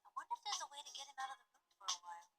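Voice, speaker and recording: An actress voices a woman in a murder mystery visual novel. Her delivery swings up and down expressively. Music plays clearly behind her. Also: high voice, very animated, racing. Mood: curious, scheming. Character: women suspects and helpers in a murder mystery.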